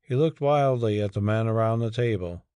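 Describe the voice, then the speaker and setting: A man's voice: fairly low pitch, normal speed, dark tone, clear, resonant tone, no room sound, studio quality. A man narrating a dramatic audiobook from a script.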